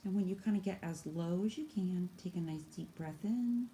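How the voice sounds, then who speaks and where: A woman's voice: on the low side, moderate pace, mellow. A woman teacher guiding children through yoga stretches with simple, encouraging step-by-step cues, talking as she demonstrates. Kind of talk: instructional exercise guidance, demonstrating while talking. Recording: echoey room, faint background noise.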